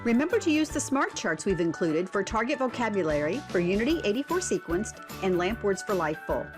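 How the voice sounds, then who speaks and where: A woman giving instructional narration and reading a picture book for children, expressive and deliberate, with prompting questions. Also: medium pitch, music in the background. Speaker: read-aloud instructor.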